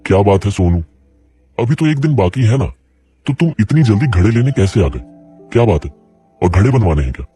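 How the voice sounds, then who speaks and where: A poor village potter in a cartoon story, voiced by a man. His voice is very low, dark and husky, and he talks in a worried, plain-spoken way. Faint music runs underneath. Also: sing-song, fairly quick, pauses often. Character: poor struggling middle-aged male potter.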